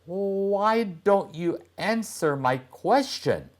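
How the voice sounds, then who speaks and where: A man's voice: high voice, lively intonation, drawn-out words, pitched above the performer's own voice, exaggerated voice. A man voicing a curious, easily annoyed young boy in a children's storybook read-aloud.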